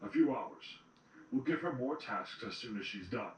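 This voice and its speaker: A man's voice: medium pitch, lively intonation, moderate pace, echoey room, far from the mic, slight background noise, close to an everyday voice, rough recording. A man playing a stern, commanding changeling general in a fan audio drama, curt and authoritative. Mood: businesslike.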